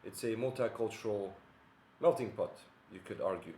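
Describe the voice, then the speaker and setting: A man from Bosnia, his tongue, giving an earnest, measured monologue with many pauses and fillers. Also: on the low side, darkish tone, echoey room, slight background noise. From Bosnia and Herzegovina. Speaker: video commentator. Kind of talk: monologue to camera.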